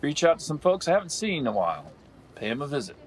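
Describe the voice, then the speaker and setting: A man's voice: on the high side, big pitch swings, quick pace. A man playing a laid-back, cosmic drifter in a comedic audio drama, speaking in a folksy, poetic, cagey way. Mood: wistful, cagey. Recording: faint background vehicle noise.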